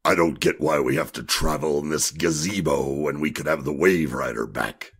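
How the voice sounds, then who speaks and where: A man playing a gruff, grumpy tough time traveller in an audio drama, with a gravelly, husky voice and blunt, grumbling delivery. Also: very deep voice, moderate pace, heavily acted. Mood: grumbling, sarcastic.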